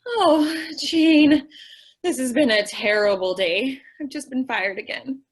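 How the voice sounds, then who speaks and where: A woman's voice: sits high, takes it slowly, breathy edge, exaggerated voice. A woman playing a sweet, naive, scatterbrained young shop clerk in an old-time radio comedy, earnest and guileless in her delivery. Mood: plaintive, determined. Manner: earnest, guileless, literal-minded.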